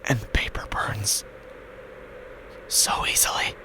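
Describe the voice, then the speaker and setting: An actor playing a haunted, grieving, quietly unhinged husband who narrates a horror audio drama in halting fragments with a breathy, gravelly whisper. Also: male voice, lightly acted, low-quality recording. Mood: strained, pained. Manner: halting, fragmented, drawn-out phrases.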